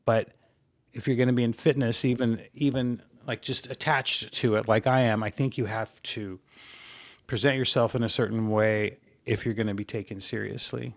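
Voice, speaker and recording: A man in his sixties, a podcast guest, talking in a relaxed, rambling way about his fitness habits, often restarting his sentences. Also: medium pitch, moderate pace, gravelly, no room sound. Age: 60s. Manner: rambling and reflective, with frequent restarts. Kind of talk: casual interview chat.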